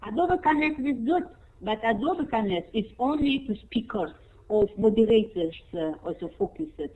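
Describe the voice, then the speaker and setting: An older woman with a bright, high voice, German her first language, rambling on with gushing praise in halting English. She sounds squeezed and thin, like audio from a phone line or cheap online call. She speaks into a computer mic on a video call. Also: lively intonation. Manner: rambling, effusive, halting non-native English. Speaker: conference participant, human resources teacher. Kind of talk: online conference chat.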